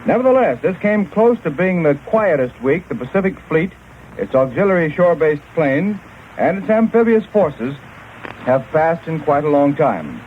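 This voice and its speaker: Through a narrow, crackly old radio transmission comes an American man with a fairly high voice. He reads scripted war news in a formal, measured 1940s correspondent style. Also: sing-song. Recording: noisy, narrow-band 1940s shortwave radio recording.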